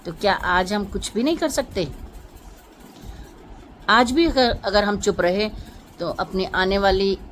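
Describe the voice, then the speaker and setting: A woman's voice: fairly low pitch, a bit bright, a little hiss or hum, poor audio. A woman vlogger delivering an emotional political monologue to viewers, impassioned and rhetorical, repeating phrases for emphasis.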